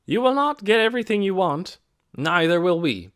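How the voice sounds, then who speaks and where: Male narrator doing a female civic leader: firm, measured, businesslike, lifted and lively in pitch. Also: smooth. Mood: resolute. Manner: measured, solemn public speaking. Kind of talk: audiobook character voice.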